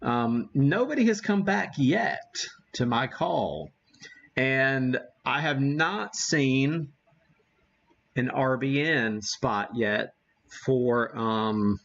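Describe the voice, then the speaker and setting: A man hosting a casual, unscripted live radio-hobby demo, thinking aloud in a halting way as he works the equipment. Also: mid-range voice, creaky, fairly bright tone, muffled recording. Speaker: livestream host, amateur radio operator.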